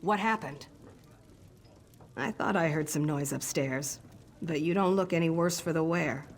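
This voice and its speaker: An actress playing a gruff orc woman agent in a fantasy game, speaking bluntly and curtly with wry edges. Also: low voice, measured pace, slight background noise. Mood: gruff, conspiratorial. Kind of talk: video game voice acting. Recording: loud music under the voice.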